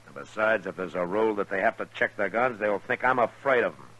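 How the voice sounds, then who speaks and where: A low, husky American marshal from a vintage radio western. He is calm and steadfast, speaking in measured, laconic lines with a firm bright edge. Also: low-quality recording. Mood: firm, resigned. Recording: vintage 1950s radio recording.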